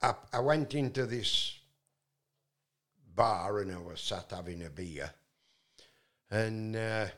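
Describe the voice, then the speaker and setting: Loud, rambling man. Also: fairly low pitch, takes it slowly, pauses often. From England. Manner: loud voice, many fillers and restarts. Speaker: guest. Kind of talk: rambling anecdotal storytelling.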